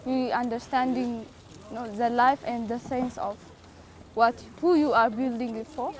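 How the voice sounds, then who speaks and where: Enthusiastic high-voiced woman. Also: fairly even intonation, moderate pace, slight background noise. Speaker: workshop participant. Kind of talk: casual interview.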